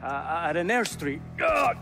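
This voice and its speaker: A man's voice: on the high side, very animated, unhurried, gravelly, full character voice. A man playing a hesitant operative in a video game cutscene, searching for words as he reports. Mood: halting, strained.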